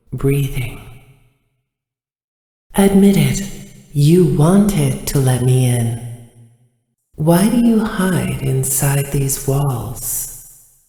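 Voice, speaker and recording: A woman's voice: very deep voice, warm tone, echoey room, long reverb tail, full character voice. A woman voicing a sinister, seductive creature in a horror game, coaxing and menacing.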